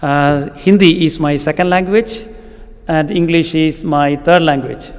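Slow, halting Kerala-accented male preacher. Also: on the high side, steady tone, clear, resonant tone. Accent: Indian, Kerala. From Kerala, southern India. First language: Malayalam. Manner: halting, frequent ums and uhs, deliberate. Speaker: guest preacher. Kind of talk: sermon. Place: church with long reverb. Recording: reverberant church hall.

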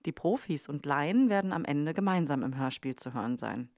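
A woman's voice: deep voice, lively intonation, moderate pace, darkish tone, no echo, clean studio sound. A woman narrating a documentary podcast from a script.

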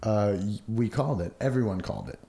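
A man's voice: on the low side, sing-song, a little fast, creaky, mellow. A man co-hosting a casual podcast chat. He is laid-back, laughs often and swears casually. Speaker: podcast co-host.

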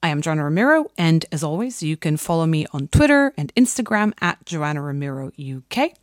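A woman's voice: low-pitched, sing-song, fairly quick, no echo, clean studio sound. A young adult woman hosting a podcast interview, chatty and given to restarts when asking questions, recorded remotely. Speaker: podcast host. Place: remote recording over a phone call.

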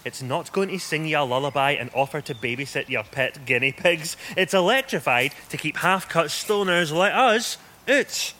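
A man's voice: fairly high pitch, moderate pace, sharp tone. A man acting a cocky, mocking young stoner with a Scottish accent in a radio horror drama. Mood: cocky banter. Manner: brash, mocking. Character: cocky young male stoner egging on camping friends. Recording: noisy ambient background.

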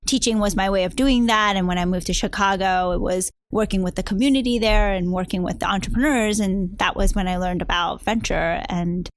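A woman's voice: mid-range voice, brisk, few pauses, dry recording. A woman in her thirties with an American accent, giving conversational answers as an interview guest. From United States, New Jersey and New York area. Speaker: interview guest, venture capitalist.

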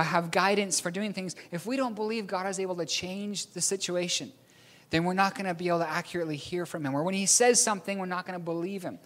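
A man's voice: high-pitched, fairly bright tone. A man from New Zealand preaching a storytelling sermon, rambling a little and correcting himself with a chuckle. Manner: rambling, self-correcting, chuckles at own slips. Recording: long hall reverb.

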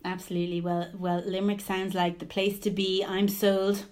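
A woman's voice: medium pitch, little pitch movement, normal speed, a little mellow, a little hiss or hum. Enthusiastic woman hosting a podcast. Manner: enthusiastic, friendly, repeats words when starting. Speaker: podcast host. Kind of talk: podcast interview.

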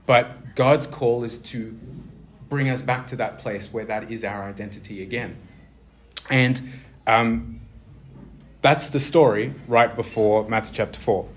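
A man's voice: mid-range voice, a little slow, pauses often, slight background noise. A man preaching an informal sermon, talking conversationally with many fillers and restarts and asking his listeners questions.

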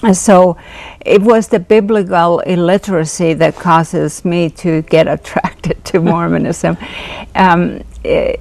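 An older woman from Finland, a guest telling her life story in an interview, hesitant with many pauses. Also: on the low side, fairly even intonation, gravelly, a little mellow. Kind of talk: personal testimony interview.

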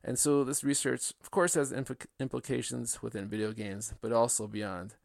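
A man hosts an interview show in an upbeat, casual manner. His mid-pitched voice carries a gravelly fry. The recording is dry, with little room sound. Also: steady tone, normal speed, darkish tone, no echo. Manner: upbeat, with some fillers. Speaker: podcast host.

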